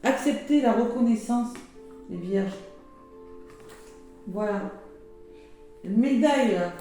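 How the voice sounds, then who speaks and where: A hoarse woman chats through a weekly oracle card reading, with plenty of fillers and exclamations. Her voice sounds mellow and dark. It comes from a very echoey room with faint music behind her. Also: fairly high pitch, lively intonation, slow. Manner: chatty, with many fillers and exclamations. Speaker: tarot and oracle card reader.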